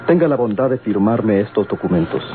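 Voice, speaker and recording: An actor playing a courteous, composed gentleman with a Mexican accent and formal, measured manner in an old radio drama. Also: lowish voice, animated, close to an everyday voice. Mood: deferential, businesslike. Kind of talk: golden-age Mexican radio drama acting. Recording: tinny, noisy old radio recording.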